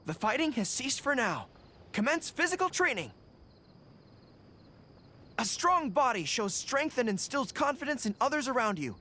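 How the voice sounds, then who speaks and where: A performer voicing an eager, disciplined young male general with dog ears and a tail in a video game, with a brisk military delivery. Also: sits high, very animated, pushed and bright, a little hiss or hum, heavily acted. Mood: keen, commanding. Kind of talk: video game character voice lines.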